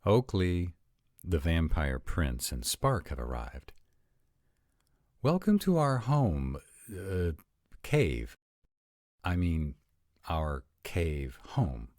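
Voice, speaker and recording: A man playing an eager, awkward male dragon welcoming people in a fantasy audio roleplay, with excitable, informal phrasing. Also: deep voice, drawn-out words, pauses often, dry recording, clean studio sound, exaggerated voice. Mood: flustered, welcoming.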